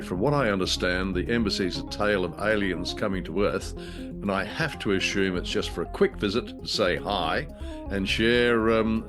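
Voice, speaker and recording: A man co-hosting a show, giving a tongue-in-cheek, lightly scripted introduction. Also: deep voice. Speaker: co-host.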